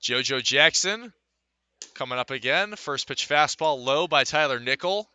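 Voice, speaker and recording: A man's voice: mid-range voice, unhurried, bright, forceful tone, dry recording, dull audio. A man calling a baseball game as a live radio play-by-play announcer.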